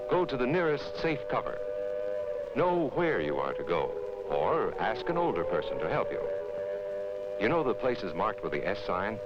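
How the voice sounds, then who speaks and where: Man, American, deep voice, animated intonation, instructive and emphatic, 1950s educational film narration, muffled old film audio. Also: heavy background noise. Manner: instructive, emphatic, addressing children directly. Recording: dull vintage 1950s film soundtrack.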